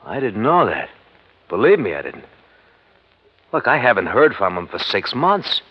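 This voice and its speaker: An American actor playing a shifty, protesting club owner in a 1950s radio crime drama. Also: male voice, fairly low pitch, huge pitch swings, unhurried, husky, no echo, heavily acted. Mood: defensive, nervous. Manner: stammering, protesting. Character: defensive, shifty male supper club owner. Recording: dull vintage radio recording.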